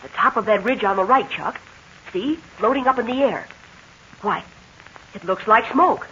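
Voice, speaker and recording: An actress playing an eager young girl in a 1940s radio serial, speaking quickly and urgently. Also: lightly acted. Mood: alarmed. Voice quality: high, bright girlish voice. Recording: dull scratchy 1940 radio transcription.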